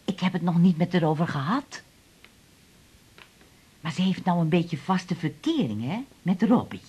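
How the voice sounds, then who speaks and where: A woman's voice: fairly low pitch, animated, moderate pace, warm tone, breathy edge. An actress playing a middle-aged mother, fussy and stammering, who chats hesitantly about her teenage daughter. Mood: fretful. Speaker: patient's mother. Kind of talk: 1980s radio drama acting.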